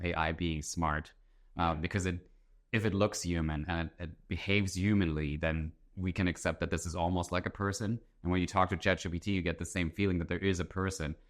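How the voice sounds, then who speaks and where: A man talks as a guest in an interview. His voice is low and deep, and he lays out his ideas in a thoughtful, conversational way. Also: steady tone, fairly quick, fairly bright tone. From Germany. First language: German. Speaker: interview guest, author and entrepreneur. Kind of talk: conversational explanation.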